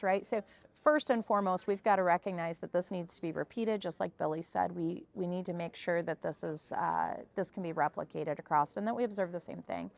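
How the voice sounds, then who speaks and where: The woman scientist you'd hear on a research podcast panel, walking listeners through results point by point. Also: mid-range voice. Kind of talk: explanatory research discussion.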